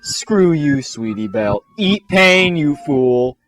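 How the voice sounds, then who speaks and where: A man's voice: on the high side, big pitch swings, takes it slowly, fairly bright tone, heavily acted. A man voicing a whiny, haughty, melodramatic female pony in a comic fan-fiction story. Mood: spiteful. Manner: melodramatic, indignant. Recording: music behind the voice.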